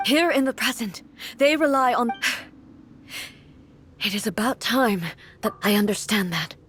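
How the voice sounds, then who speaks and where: A woman voicing a resolute, dutiful warrior goddess in a video game, speaking in a calm, measured way with quiet sighs. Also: fairly high pitch, a little hiss or hum. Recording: game audio with music behind.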